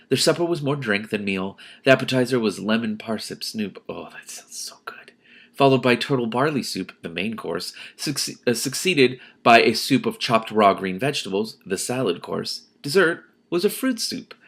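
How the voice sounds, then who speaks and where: A man reading a novel aloud as host, expressive and emotionally invested, breaking in with spontaneous, effusive reactions. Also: lowish voice, gravelly.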